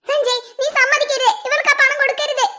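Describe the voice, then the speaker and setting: A distressed but defiant cartoon captive wife, acted by a woman, begs urgently in an extremely high, bright voice. The words come quickly over faint music. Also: little pitch movement. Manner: urgent, imploring. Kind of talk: amateur cartoon melodrama.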